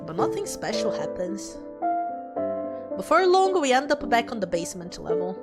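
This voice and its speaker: Girl streamer reading a visual novel, super lively higher voice, slangy comments, music playing behind. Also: normal speed. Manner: playful, slangy asides. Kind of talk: visual novel read-aloud with casual commentary. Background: clear background music.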